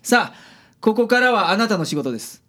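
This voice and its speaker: Gruff, shrewd male private detective, played by an actor, Kansai accent, lively pitch swings, radio drama. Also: full character voice. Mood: measured, kind. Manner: blunt with colleagues, measured and polite with clients.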